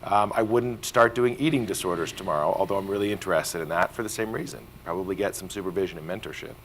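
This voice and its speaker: Very deep-voiced male panelist. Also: quick pace, fairly bright tone, a little hiss or hum, rough recording. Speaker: panel speaker. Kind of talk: conference panel discussion.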